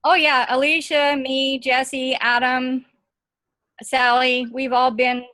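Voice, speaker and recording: A mature woman from Oregon talks casually as a student in an online class. Her voice is fairly high and recorded very dry. She has a folksy, good-humored way of talking. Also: level tone, takes it slowly, bright, forceful tone, dry recording. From Oregon, USA. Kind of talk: casual chat. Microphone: online class call.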